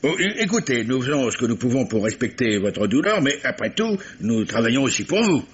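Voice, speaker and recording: An actor playing a dogged, polite but insinuating police commissioner who probes with stammering courtesy, in vintage French radio mystery style. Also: male voice, mid-range voice, very animated, a little fast, husky. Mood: dry, needling. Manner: hesitant stammering courtesy, probing. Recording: dull vintage radio recording, faint hiss.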